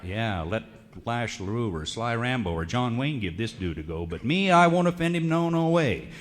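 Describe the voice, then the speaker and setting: A man with an American West accent recites comic narrative cowboy poetry in a folksy, theatrical drawl with drawn-out emphasis. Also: medium pitch, lively intonation. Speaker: cowboy poet. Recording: reverberant hall recording of a radio show.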